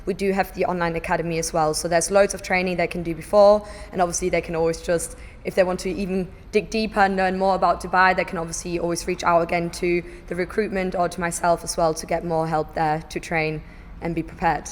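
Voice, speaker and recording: A woman on the training staff explaining the onboarding process in a measured, explanatory way during a webinar. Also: on the low side, level tone. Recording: long hall reverb.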